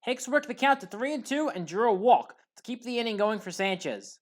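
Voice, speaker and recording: A woman delivering scripted play-by-play narration as a sports highlights commentator. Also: animated, fairly quick.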